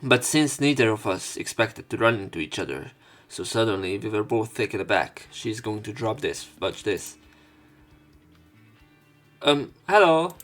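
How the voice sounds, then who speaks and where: A man's voice: on the low side, sing-song, unhurried, fairly bright tone, soft background music. A man hosting a let's play, reading a visual novel aloud theatrically and adding casual commentary.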